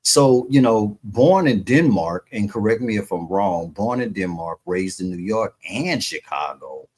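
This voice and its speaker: A man's voice: fairly low pitch, lively intonation, measured pace, husky. A man hosting a radio interview show, talking casually with many fillers and repeated words. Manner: warm, chatty, repeats words, lots of fillers. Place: radio station.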